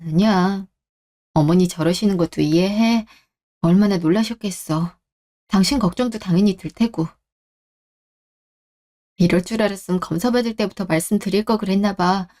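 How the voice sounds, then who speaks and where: A woman playing a gentle, apologetic young wife who speaks politely and softly in a radio drama. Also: medium pitch, normal speed, pauses often, lightly acted. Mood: resigned, understanding. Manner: soft-spoken, conciliatory, polite. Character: gentle, apologetic young married woman, a patient daughter-in-law. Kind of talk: Korean radio drama acting.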